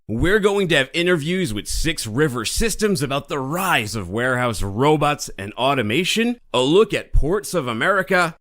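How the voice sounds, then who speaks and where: A man opens his podcast with a bright, upbeat scripted introduction. His pitch rises and falls a lot, with lively swings on key words. The voice sits at a middle pitch for a man. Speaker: podcast host.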